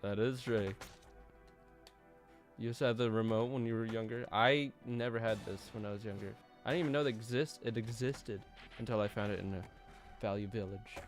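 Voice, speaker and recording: A man's voice: on the low side, fairly even intonation, normal speed, soft background music. A man hosting a game stream, chatting in an easygoing way and reading out the chat. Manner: easygoing, chuckling, reads chat. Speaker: streamer host. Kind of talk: casual gaming chat.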